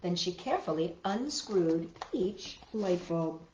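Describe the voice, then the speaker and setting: A woman hosting children's storytime, reading aloud in an expressive, emphatic narrating style. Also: fairly low pitch, takes it slowly, highs cut off, slight background noise. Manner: animated, emphatic. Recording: roomy echo.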